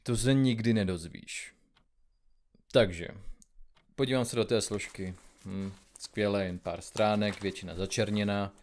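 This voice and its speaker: A man acting a brooding detective in an audio drama. His voice sits on the low side, and he mutters with worn-out exasperation, like someone set on a hard search. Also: steady tone, darkish tone, close to an everyday voice. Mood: exasperated, focused.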